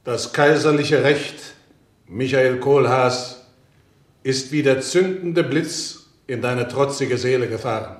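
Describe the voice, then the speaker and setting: Grave, slow-speaking clergyman, acted by a man. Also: mid-range voice, lightly acted. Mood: grave, forgiving. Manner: solemn, preaching, deliberate. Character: grave clergyman granting absolution to a condemned man. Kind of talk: German radio play acting. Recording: roomy echo.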